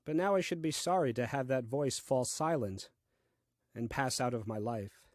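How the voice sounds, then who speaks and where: An actor playing a bemused, earnest first man reading his diary in a comic audio play, deliberate and puzzled. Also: medium pitch, dry recording, clean studio sound, close to an everyday voice. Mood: tender, regretful. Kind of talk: audio play diary monologue, dry comedy.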